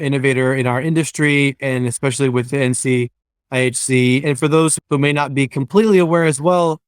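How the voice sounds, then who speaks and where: A man's voice: medium pitch, clear, resonant tone, no room sound, studio quality. A man hosting a virtual interview, friendly and fluent, with occasional hesitant restarts. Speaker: interview host.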